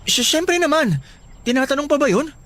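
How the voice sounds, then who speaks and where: An actor playing an easygoing, caring young boyfriend in a radio drama, coaxing and boyish. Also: male voice, very high-pitched, lively intonation, moderate pace, a bit bright. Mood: surprised, uncertain. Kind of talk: Tagalog radio drama acting.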